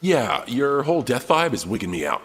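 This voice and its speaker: Smart-mouth game fighter: crude, casual, bouncy pitch, noisy reverberant sound. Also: male voice, big pitch swings, normal speed. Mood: mocking. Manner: flippant, casual. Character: irreverent, crude, slangy wisecracking fighter. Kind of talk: fighting game character dialogue.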